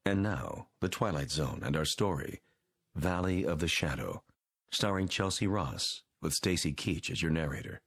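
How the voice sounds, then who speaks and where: A man's voice: low-pitched, animated, normal speed, creaky, fairly bright tone, breathy edge. A man making a formal scripted announcement as the announcer of a radio drama.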